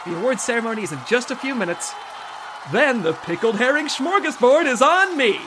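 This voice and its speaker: An eager young-sounding agent played by a woman in a comic audio drama. Her voice is high and bounces up and down, chirpy and full of enthusiasm. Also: expressive, a little fast, a crowd in the background, noisy background, close to an everyday voice. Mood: cheerful. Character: eager, excitable female spy team member. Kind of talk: comedic audio drama acting.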